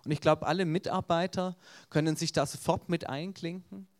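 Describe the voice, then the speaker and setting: Man, Swabian accent, evangelist, earnest storytelling sermon, somewhat raised pitch. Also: fairly even intonation, normal speed.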